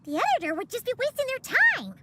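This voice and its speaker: A very high, squeaky little fairy girl, bouncing freely up and down as she chatters. She is a blunt, eager video game sidekick, with music playing clearly behind her. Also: huge pitch swings, quick pace, sharp tone, heavily acted. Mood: questioning. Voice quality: squeaky, childlike. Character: tiny childlike chirpy fairy companion, female, eager and blunt.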